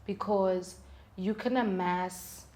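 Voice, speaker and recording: A South African woman talking casually as an interview guest, telling stories in an animated way with rhetorical questions and acted-out reactions. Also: mid-range voice, slow pace. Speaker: guest, singer-songwriter.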